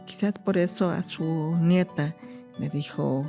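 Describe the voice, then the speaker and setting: A middle-aged woman speaking thoughtfully in an interview, pausing to search for words. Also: low-pitched, gravelly, dark tone, faint music behind. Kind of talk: casual interview talk.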